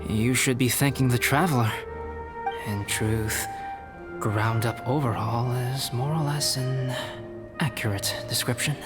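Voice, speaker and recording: A man's voice: medium pitch, fairly even intonation, measured pace, close to an everyday voice. Man acting a young artist-scholar, composed, polite, understated, formal phrasing, game dialogue, background music. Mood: calm, gracious. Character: calm, polite young male scholarly painter. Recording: music playing behind the voice.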